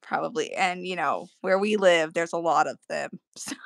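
A woman with a gravelly voice chatting casually and joking while drawing at home. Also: fairly low pitch, moderate pace, dry recording. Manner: joking, playful, laughs often. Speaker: artist co-host.

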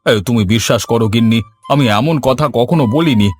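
A man's voice: mid-range voice, fairly quick, lightly acted. A man voicing a grumbling, henpecked village landlord in a cartoon story, with a dry manner. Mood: defensive. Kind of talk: Bengali cartoon story voice acting. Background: music.

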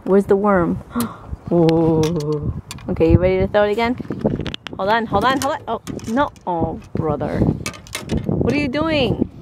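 Excitable young woman vlogger, handheld camera outdoors, noisy open-air audio. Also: mid-range voice, unhurried, long pauses. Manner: chatty and excitable, often laughing. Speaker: family vlogger. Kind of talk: casual vlog chat. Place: outdoors on a lake in a rowboat. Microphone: handheld camera at arm's length.

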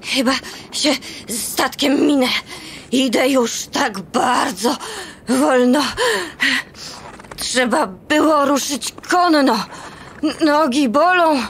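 A woman's voice: sits high, a bit bright, a little hiss or hum, exaggerated voice. A woman voicing a small, homesick young male elf in a rhyming children's radio play, fretful and tearful. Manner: fretful, whiny rhyming complaints.

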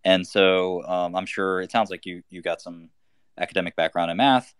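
A man's voice: low-pitched, steady tone, normal speed, clean tone, dry recording, studio quality. A man from Albania hosting a live audio chat, talking loosely with many fillers and restarts. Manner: rambling, lots of fillers and restarts. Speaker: host.

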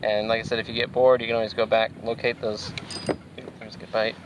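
A man in his thirties with a Pacific Northwest American accent, hosting a fishing video from a kayak and explaining techniques in a relaxed way with plenty of fillers. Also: on the low side, a little fast, noisy background. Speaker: fishing show host. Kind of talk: casual instructional commentary. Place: outdoors on a kayak on a lake. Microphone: action camera mounted on the kayak.

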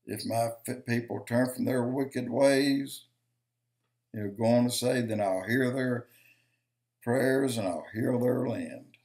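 An older man giving slow, emphatic preaching-style commentary to a webcam in his home office, counting off points and repeating lines for stress. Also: medium pitch, steady tone, darkish tone. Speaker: video commentator.

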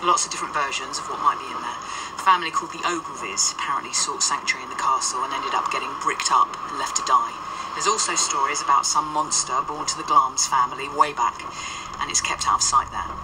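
An actress in a radio drama playing a brusque, wry, sardonic ghost agent with a dry, impatient manner. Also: female voice, rumbling low, fairly even intonation, fast talker, distant mic, heavy background noise. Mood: dry briefing.

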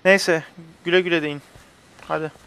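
A man's voice: fairly high pitch, fairly even intonation, normal speed, vocal fry, pushed and bright, smooth, rough recording. A young man hosting a gaming video, giving casual let's-play commentary while chatting with friends. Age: young adult. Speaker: host of a gaming video channel. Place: indoors at a computer, webcam shown.

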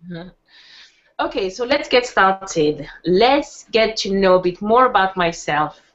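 A woman's voice: medium pitch, expressive, unhurried. Chatty French-accented woman on a video call. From France. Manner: chatty, laughs easily, many fillers and restarts. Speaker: webinar presenter. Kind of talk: online presentation talk. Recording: muffled online call audio.

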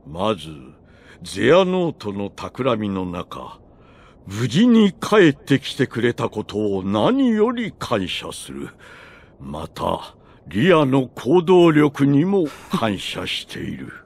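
An actor playing a stern, solemn old wizard master in a Japanese game, speaking gravely and ceremonially. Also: male voice, medium pitch, wildly swooping pitch, slow, heavily acted. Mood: grave, formal. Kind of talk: formal Japanese game cutscene acting.

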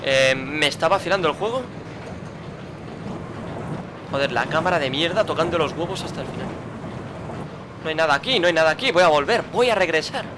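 A man's voice: fairly high pitch, expressive, brisk, long pauses, noisy background. A man commenting his own gameplay, muttering, grumbling and swearing at the game. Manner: frustrated, swearing, muttering to himself. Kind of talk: let's play commentary.

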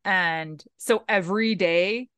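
A woman's voice: medium pitch, a bit bright, smooth, no echo, studio quality. Upbeat woman hosting a podcast. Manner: upbeat, enthusiastic, fast bursts. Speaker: podcast host, fitness coach. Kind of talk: casual podcast chat.

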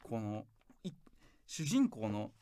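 A man's voice: lowish voice, big pitch swings, slow, warm tone. A man speaking as a guest scenario writer in a casual interview, jokey, self-deprecating and polite.

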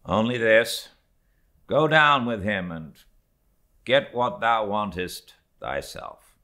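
A man voicing a stern first mate, curt and measured, slow, bright tone. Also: lowish voice, lively intonation, voice pushed up, full character voice.

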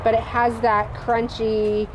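Lady chef explaining a recipe on an outdoor patio, kinda high voice, traffic noise behind. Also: slow. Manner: explanatory, relaxed, with fillers. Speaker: guest chef demonstrating a recipe. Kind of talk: cooking demonstration. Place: outdoor kitchen showroom patio. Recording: noisy outdoor recording.

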